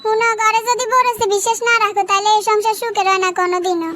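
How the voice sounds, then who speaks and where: Cartoon casting: demanding young Bangladeshi wife, Noakhali accent, extremely high and very fast, nagging, faint noise behind. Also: female voice, steady tone, bright, forceful tone. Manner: nagging, insistent. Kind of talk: comedy cartoon dialogue.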